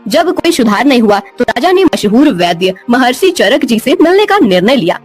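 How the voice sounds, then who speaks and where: A woman reads a scripted bedtime story. Her voice is high and very animated in its melody. Soft music plays behind her. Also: moderate pace, breathy edge.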